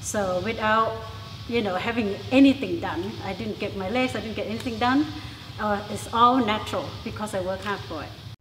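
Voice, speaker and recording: A woman's voice: mid-range voice, moderate pace, over music. A confident, chatty woman in her fifties from Thailand, with a Thai accent mixed with English and New York, talking casually to the camera in a large gym. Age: 50s. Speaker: interviewee. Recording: echoey gym with reverb and noise.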